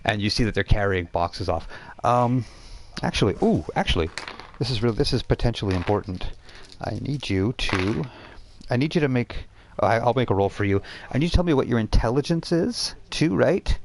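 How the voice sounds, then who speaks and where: A man narrating a tabletop role-playing game as game master, conversational and halting, often repeating phrases as he improvises. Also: mid-range voice, expressive, background noise. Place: live online game stream.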